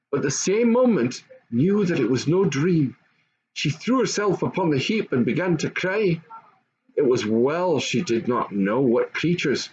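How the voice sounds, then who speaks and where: A man's voice: mid-range voice, darkish tone. An older man reads a children's story aloud expressively, as an amateur storyteller. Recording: dull lo-fi home recording, faint noise.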